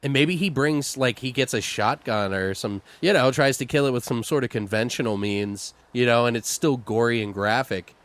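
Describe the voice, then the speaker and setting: A man in a casual creative brainstorming chat, informal and sweary, thinking aloud in halting repetitions. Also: medium pitch, a bit bright. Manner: foul-mouthed, informal. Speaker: co-host brainstorming a horror audio drama.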